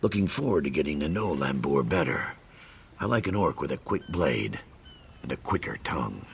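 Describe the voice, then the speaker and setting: An actor playing a gruff, roguish sailor in a video game, with a deep, gravelly voice. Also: male voice, animated, moderate pace, heavily acted. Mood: roguish, appraising. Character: gruff male sailor with a roguish, lusty streak. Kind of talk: video game character dialogue.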